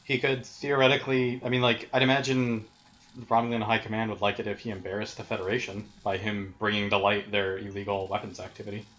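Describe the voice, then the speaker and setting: A man's voice: lowish voice, little pitch movement, quick pace, fairly bright tone, poor audio. A man talking casually as a player at a tabletop game, offering suggestions.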